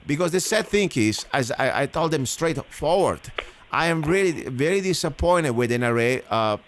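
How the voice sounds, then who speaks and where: A man's voice: fairly high pitch, animated, moderate pace, a little hiss or hum. Man, radio host, rambling, indignant. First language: Italian. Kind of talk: casual radio talk.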